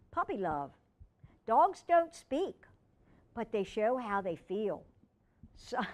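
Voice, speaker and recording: Animated woman reading storytime to children. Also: medium pitch. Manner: expressive, chatting to young listeners and a pet. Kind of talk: reading a children's book aloud with chatty asides.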